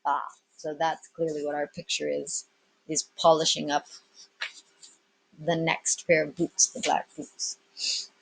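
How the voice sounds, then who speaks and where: A woman's voice: fairly low pitch, fairly bright tone, breathy edge, poor audio. Woman teacher reading a storybook aloud. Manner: explains and prompts listeners. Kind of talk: storybook read-aloud with explanatory asides.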